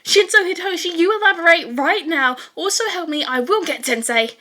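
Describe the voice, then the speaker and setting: A woman acts out an excitable teenage classmate in an audiobook story. The voice jumps high and loud, insistent and demanding. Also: moderate pace, full character voice. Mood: outraged, demanding. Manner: shrill, insistent outbursts.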